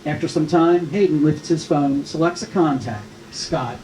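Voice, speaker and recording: Mellow male narrator in an echoey room. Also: on the high side, moderate pace. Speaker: narrator reading stage directions. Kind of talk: scripted narration at a screenplay table read. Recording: echoey room with faint noise.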